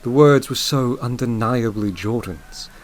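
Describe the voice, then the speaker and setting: A man narrating a scripted audio drama as a solemn, gentle storyteller, measured, with dramatic pauses. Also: medium pitch, close to an everyday voice. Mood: solemn, wondering. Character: solemn, gentle male storyteller of a grief tale.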